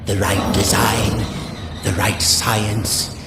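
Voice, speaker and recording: A deep-voiced, grandiose male villain from a video game, gravelly and menacing. He speaks slowly and deliberately, as if every word were part of a scheme, in an echoey space under loud music. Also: flat delivery. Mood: fervent. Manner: slow, deliberate, theatrical, menacing. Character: cold, grandiose male villain scheming for godlike power and revenge.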